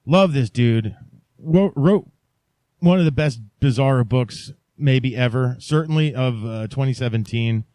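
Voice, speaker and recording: A man's voice: mid-range voice, unhurried, dark tone, dry recording. A man talks as a podcast host in a loose, rambling way. His delivery is informal and keen, wandering through asides. The sound is dry, with no room on it. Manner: informal, digressive, enthusiastic about indie writers. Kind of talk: casual rambling solo podcast talk.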